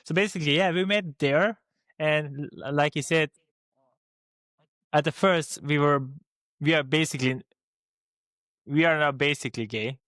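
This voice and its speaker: A man's voice: fairly high pitch, moderate pace, pauses often, a bit bright, no room sound. A young man from Slovenia with a Slovene accent, co-hosting a casual podcast chat and speaking hesitantly with many restarts and fillers. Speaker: podcast co-host.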